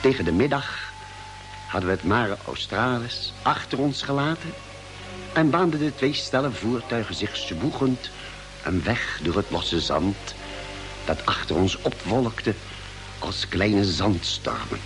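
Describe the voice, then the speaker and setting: Expressive radio-drama narrator over music. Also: male voice, on the low side. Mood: solemn, descriptive. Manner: measured, literary, dramatic phrasing. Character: male expedition member solemnly narrating the space journey.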